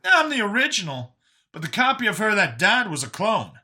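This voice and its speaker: A man voicing a folksy, excitable older fugitive who lives in a youthful body, rambling as he talks in an audiobook story. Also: high-pitched, normal speed, pitched above the performer's own voice, heavily acted. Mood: earnest explaining.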